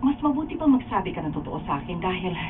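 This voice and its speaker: A woman playing a suspicious, determined wife in a radio drama rehearsal, reading her lines deliberately with a Filipino accent. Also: lowish voice, very animated. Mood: determined, accusing. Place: radio recording studio. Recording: muffled phone-like audio with background noise.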